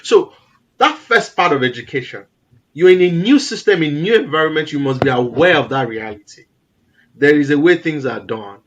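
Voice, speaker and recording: Nigerian guy w a loud voice, kinda high, emphatic and animated, repeats words to push a point. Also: moderate pace. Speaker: guest. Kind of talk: casual interview conversation.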